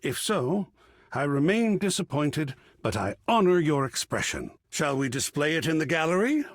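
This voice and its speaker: An actor playing an effusive, gentle, philosophical male art curator in a video game, savoring refined phrases with dramatic, musing pauses. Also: a little high, big pitch swings, dry recording, clean studio sound. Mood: accepting, gentle. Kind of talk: theatrical video game character dialogue.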